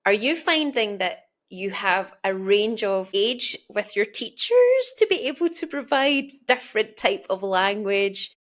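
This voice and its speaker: A woman's voice: mid-range voice, big pitch swings, a little slow, hardly any pauses. A talkative woman with a Glasgow Scottish accent, explaining her points conversationally as an interview host. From Scotland.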